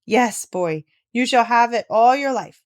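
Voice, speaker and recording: A woman voicing a kindly, generous old grandmother in a storybook, speaking warmly and firmly to a child. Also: fairly high pitch, lively intonation, a little slow, voice pushed up. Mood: generous, amused. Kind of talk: storybook read-aloud.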